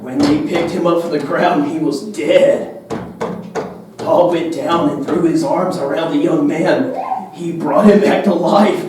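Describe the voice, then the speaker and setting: Man playing a slow-talking, high-voiced boy puppet. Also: close to an everyday voice. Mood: excited. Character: eager, giggly, easily distracted boy puppet. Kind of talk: church puppet show for children. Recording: echoey, noisy room, distant mic.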